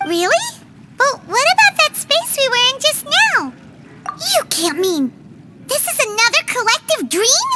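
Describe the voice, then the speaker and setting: A woman voicing a tiny, excitable childlike fairy companion in a video game, squeaky, exclamatory and inquisitive. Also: unhurried, very noisy, heavily acted. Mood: puzzled. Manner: exclamatory, inquisitive, refers to herself in third person. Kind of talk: video game character acting.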